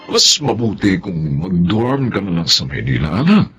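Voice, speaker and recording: A man's voice: deep voice, big pitch swings, moderate pace. An actor playing a coaxing, doting middle-aged father in a Filipino radio drama, with a Filipino accent. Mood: persuading.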